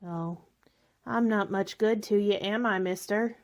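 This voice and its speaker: A woman's voice: mid-range voice, measured pace, close to an everyday voice. A woman acting in a radio drama as a lonely, faded spinster who likes her wine, wistful, bitter and self-pitying. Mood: lonely, resigned.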